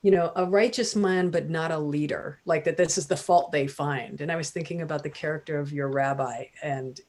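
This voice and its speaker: A woman author talking thoughtfully about a book. She hesitates often and pauses with fillers. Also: low-pitched, steady tone, darkish tone. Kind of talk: casual literary conversation.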